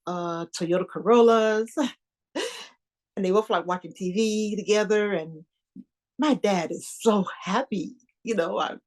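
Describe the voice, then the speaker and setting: A woman's voice: medium pitch, darkish tone. An actress performing a stage monologue as an anxious, newly engaged young woman, talking in a rambling, hesitant, confiding way.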